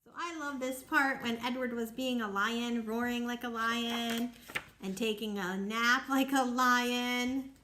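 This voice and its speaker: High-voiced woman teacher talking to children. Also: steady tone, slow pace, sharp tone, a little hiss or hum. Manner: explanatory, asking questions. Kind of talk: talking to young children about a story.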